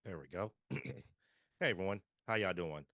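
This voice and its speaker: A man's voice: deep voice, lively intonation, normal speed, sharp tone, no echo, studio quality. A man talking casually as a guest on a livestream call, in a folksy, encouraging way. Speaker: panel guest, performer. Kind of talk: casual self-introduction. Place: remote video call livestream.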